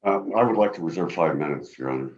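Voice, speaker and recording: Booming deep-voiced man, halting lawyer. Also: lively intonation, lo-fi sound. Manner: halting, frequent fillers. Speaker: attorney. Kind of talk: appellate oral argument. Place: home office. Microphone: video call.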